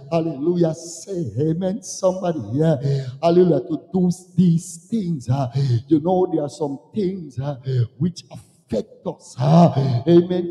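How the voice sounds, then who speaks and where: Guy preaching hard in a big echoey hall, mellow tone, calls out to the congregation, repeats lines. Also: on the high side. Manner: emphatic, repeats phrases for effect. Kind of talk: fervent call-and-response Pentecostal sermon. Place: large echoing indoor room, preaching to a congregation.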